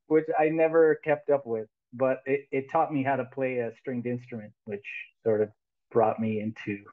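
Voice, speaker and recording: A man's voice: medium pitch, steady tone, normal speed. Sixty-something male guest musician on a thin, phone-quality video-call line; chatty and rambling, laughs a lot. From United States. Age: 60s. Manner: rambling, laughs often, many restarts. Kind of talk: casual interview over video call. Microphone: headphones on a video call.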